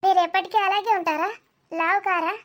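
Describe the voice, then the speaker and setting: A woman's voice: very high voice, steady tone, a little fast, fairly bright tone. A woman playing a young city visitor in a comedy cartoon, polite and questioning, puzzled, reserved and slightly impatient.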